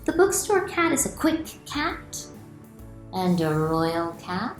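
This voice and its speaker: A woman's voice: lowish voice, takes it slowly, reverberant, soft background music. A middle-aged woman, a children's author, reading aloud and explaining things expressively to children in a room at home. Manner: expressive, clearly addressed to children. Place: small room at home, indoors.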